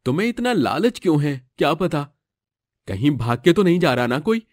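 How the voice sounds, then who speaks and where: A man's voice: on the high side, sing-song, normal speed, dry recording, studio quality. Man acting an earnest young husband. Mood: exasperated. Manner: earnest, reproachful, dramatic. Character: exasperated young husband in a family moral story.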